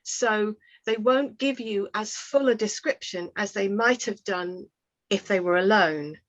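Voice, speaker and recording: A woman's voice: mid-range voice, measured pace. A woman giving conversational, explanatory advice as a workshop speaker, often weighing things up with hedges. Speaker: oral historian and workshop speaker. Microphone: video call. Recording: dull lo-fi webinar audio.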